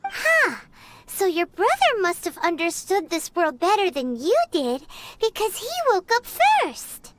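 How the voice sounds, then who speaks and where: A tiny, childlike fairy guide girl for an anime video game. The voice is squeaky and extremely high, with animated pitch and an unhurried, exclamatory delivery. Faint noise sits behind it. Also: heavily acted. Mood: thoughtful. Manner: refers to self in third person, exclamatory. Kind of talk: anime game character dialogue.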